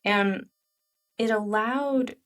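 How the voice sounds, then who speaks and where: A middle-aged woman, a guest author whose first language is Chinese, telling personal stories in an animated, candid way, full of "like" fillers. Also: fairly high pitch, extremely slow, distant mic. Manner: animated storytelling with frequent "like" fillers. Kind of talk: candid interview conversation.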